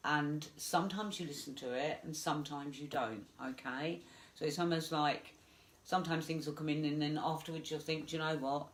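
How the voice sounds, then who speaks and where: A woman's voice: low voice, low-quality recording. A woman with a stuffed-up voice from a flu, giving a chatty live psychic reading with many fillers. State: congested with flu. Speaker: psychic medium.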